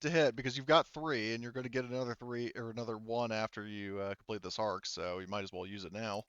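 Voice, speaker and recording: A man's voice: on the low side, fairly even intonation, fast, a bit bright, clean tone, no echo, muffled recording. A man acting as game master, casually guiding players through a tabletop roleplaying game.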